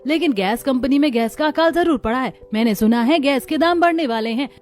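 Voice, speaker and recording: A woman's voice: high voice, natural voice. A woman voicing village women of a poor household in a cartoon story. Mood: concerned. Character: village women of a poor family, daughters-in-law and mother-in-law.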